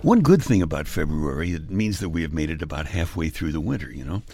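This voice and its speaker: A man's voice: very deep voice, fast talker, over loud music. A man with a Brooklyn accent giving a wry, scripted comic monologue, chuckling at his own jokes. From Brooklyn, New York. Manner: wry deadpan storytelling with chuckles. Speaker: radio humor host.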